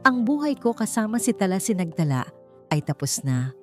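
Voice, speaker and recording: A woman's voice: medium pitch, very animated, normal speed, close to an everyday voice. A woman voicing an arrogant, cruel businessman husband in a romance story, declaiming with scornful emphasis. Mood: scornful, declaring. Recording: music behind the voice.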